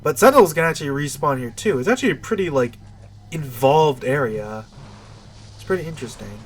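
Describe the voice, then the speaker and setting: A man's voice: a little high, animated, brisk, a little hiss or hum. A man with a stuffy, nasal voice giving casual, rambling, unscripted commentary while playing a video game. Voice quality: nasal, congested. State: stuffy nose.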